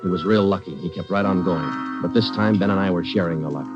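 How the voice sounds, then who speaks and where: A dogged police sergeant, played by an actor, talks in quick, flat, clipped sentences in a lowish voice. The recording is a dull old radio broadcast with loud music beneath. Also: male voice, lightly acted. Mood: narrating. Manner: clipped, matter-of-fact, flat delivery. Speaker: detective and narrator. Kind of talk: 1940s radio acting.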